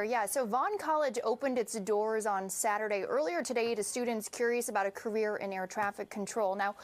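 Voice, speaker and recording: A woman in her thirties delivering a broadcast news report as a TV reporter. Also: fairly high pitch. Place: TV newsroom, indoors.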